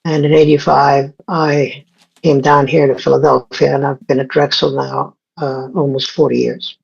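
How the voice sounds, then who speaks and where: A man in his sixties from Egypt speaks as an interview guest, his voice husky with a gravelly edge. He talks in a halting way, full of fillers and self-corrections. The recording sounds dull and lo-fi. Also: mid-range voice, little pitch movement. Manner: halting, many fillers and restarts. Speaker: guest scientist. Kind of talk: casual interview talk.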